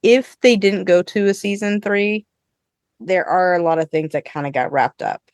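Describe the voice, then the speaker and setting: A woman hosting a podcast, chatting casually with co-hosts in an informal, expressive way with some swearing. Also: lowish voice, moderate pace, no room sound, studio quality. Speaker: podcast host. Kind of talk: casual review chat with co-hosts.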